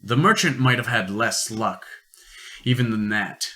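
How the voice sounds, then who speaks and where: A man narrating an amateur science-fiction radio play in deliberate storytelling phrases. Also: on the low side, lively intonation, sharp tone.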